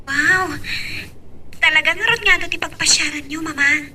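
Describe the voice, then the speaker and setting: Very high-voiced shy young woman. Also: normal speed, bright, forceful tone, recorded from a distance, a little hiss or hum. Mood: gentle, respectful. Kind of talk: radio drama acting in Ilocano.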